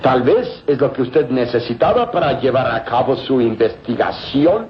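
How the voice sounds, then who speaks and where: An actor playing a cold, scheming villain with a calculating manner and a Mexican accent in a vintage radio serial. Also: male voice, mid-range voice, animated, normal speed, lightly acted. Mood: smooth, feigned goodwill. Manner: menacing, calculating. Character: scheming, cold male villain plotting deadly traps. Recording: muffled, noisy old radio recording.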